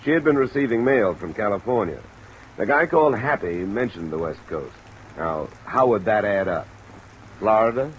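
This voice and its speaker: A man's voice: lowish voice, moderate pace, slight background noise, natural voice, low-quality recording. An American man playing a tough, sardonic insurance investigator in a 1940s radio detective drama. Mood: irritated, sarcastic. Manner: hard-boiled, curt, sarcastic. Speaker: lead actor and narrator. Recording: old radio recording under steady rain sound.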